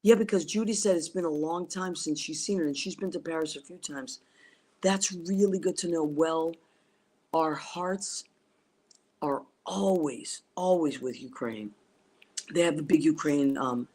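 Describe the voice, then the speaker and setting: A woman's voice: extremely low pitch, a little mellow. A woman whose first language is Italian, hosting a casual livestream over a video call, rambling enthusiastically with many fillers and restarts. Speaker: talk show host. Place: abroad on a trip, streaming remotely.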